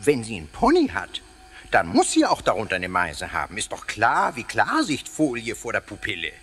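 An actor playing a cheeky, playful, excitable ghost in a children's radio play, theatrical and husky, tripping over his words. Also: fairly low pitch, moderate pace, a little hiss or hum. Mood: mischievous. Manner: theatrical, stammering over words, muddling them. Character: cheeky, playful, excitable old castle ghost, greedy for snacks.

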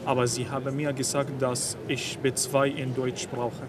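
A man's voice: mid-range voice, little pitch movement. Young man, hesitant, on noisy street. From Syria. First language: Circassian. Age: young adult. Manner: hesitant, with frequent pauses and fillers. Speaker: refugee student. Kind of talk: casual conversation. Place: outdoors on a busy city street.

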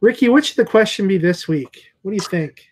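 A man in his forties hosting a casual video call chat, relaxed and chatty with many fillers, talking through earphones in a room lined with comic books. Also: high voice, a little fast. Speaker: comic shop owner and host. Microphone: earphone headset on video call.

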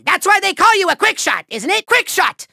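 Shrill nasal cartoony game villain, petty boastful little overlord, whiny gloaty, swoops everywhere, super sharp. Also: male voice, very animated, normal speed, vocal fry, heavily acted. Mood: bossy, urgent. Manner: theatrical gloating, taunting, whiny outbursts. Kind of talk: over-the-top video game villain acting.

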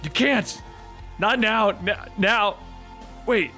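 A man's voice: a little high, expressive, moderate pace, sharp tone, faint music behind. A man voicing an anguished, guilt-ridden male antihero in a comic book story, emotional and pleading. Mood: frantic.

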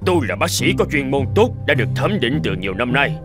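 Man acting as an animated, emphatic cartoon medical man. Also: mid-range voice, quick pace, over music, lightly acted. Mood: haughty anger. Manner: emphatic, formal, dramatic. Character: cartoon men in a tense medical drama. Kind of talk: dramatic cartoon dubbing.

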